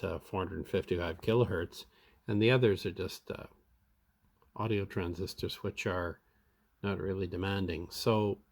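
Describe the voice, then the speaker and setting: Low-voiced man, halting repair commentary. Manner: halting, thinking aloud. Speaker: hobbyist radio repairer. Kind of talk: unscripted repair commentary, explaining step by step.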